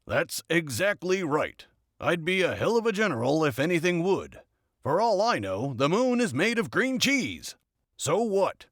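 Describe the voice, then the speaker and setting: A gruff military general, as a male narrator plays him, barks orders and threats in a storybook reading. He is short-tempered and curt, with a somewhat high voice that swings up and down sharply. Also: very animated, unhurried, fairly bright tone, no room sound, studio quality, full character voice. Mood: brusque, satisfied. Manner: barking, curt, threatening, swearing. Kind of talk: scripted audiobook character dialogue.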